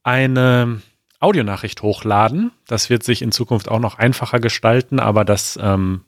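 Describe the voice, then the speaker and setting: A man co-hosting a podcast in relaxed casual chat, often hesitating and searching for words. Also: medium pitch, moderate pace, dry recording, clean studio sound. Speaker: podcast co-host.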